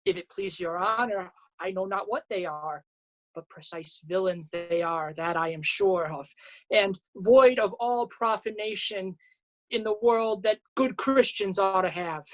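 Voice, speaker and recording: A woman's voice: mid-range voice, lightly acted, rough recording. Woman doing a bumbling old-england constable guy, earnest n self-important, stage shakespeare. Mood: indignant. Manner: self-important, halting malapropisms. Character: bumbling earnest male constable who muddles his words.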